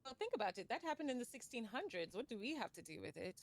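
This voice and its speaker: A woman playing a measured discussion leader in a fantasy realm, feeling out her words and probing with questions in live online roleplay. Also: fairly high pitch, sing-song, heavily acted. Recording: clearly noisy mic with clicks.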